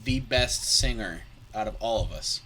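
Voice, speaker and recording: Man on a podcast, bright lively voice, playful, faint background noise. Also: on the low side, measured pace, rough recording. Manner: playful, teasing. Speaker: podcast co-host. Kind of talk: casual podcast chat.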